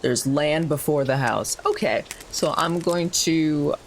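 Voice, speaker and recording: A man's voice: a little high, takes it slowly, a little hiss or hum. A man chatting casually as a tabletop game player, hesitant and thinking aloud. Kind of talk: casual chat.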